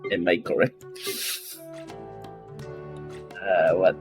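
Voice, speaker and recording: A man giving casual live gameplay commentary, speaking in halting short phrases and muttered reactions. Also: low-pitched, flat delivery, highs cut off. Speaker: game streamer. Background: faint game music.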